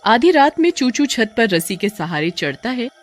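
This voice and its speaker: A woman narrating a cartoon story with lively, dramatic storytelling. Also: fairly high pitch, normal speed, faint music behind.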